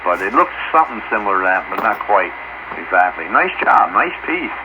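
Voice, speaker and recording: A man's voice: fairly low pitch, big pitch swings, a little fast. A man chatting casually over two-way radio in a folksy way, often repeating his phrases. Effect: two-way radio transmission. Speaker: amateur radio operator. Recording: very noisy, muffled two-way radio.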